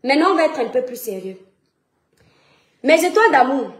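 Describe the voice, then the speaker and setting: Woman, Cameroonian French accent, high voice, mocking and confrontational, rhetorical questions, echoey room. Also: very animated, moderate pace. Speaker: social media personality. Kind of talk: heated livestream rant to followers. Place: France.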